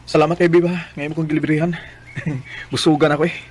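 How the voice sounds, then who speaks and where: A man's voice: on the high side, level tone, brisk, soft background music, natural voice. A man with a Cebuano accent playing a sulky, pushy young boyfriend in a radio drama. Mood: casual, sly. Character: young male sweetheart Marco, idle, sulky, pushy. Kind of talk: Bisaya radio drama acting.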